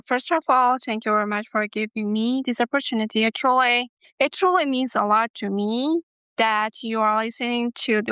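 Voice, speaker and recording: A woman's voice: fairly high pitch, fairly bright tone, smooth, no room sound. A young woman from Afghanistan, answering interview questions earnestly and carefully. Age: young adult. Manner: earnest, careful, restarts phrases.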